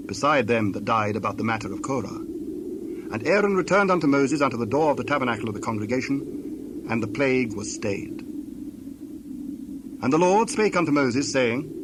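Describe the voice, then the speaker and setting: Over loud outdoor ambience with birds, a man narrates scripture. His voice is medium in pitch, and he tells the story in a measured, solemn way. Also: animated, noisy background.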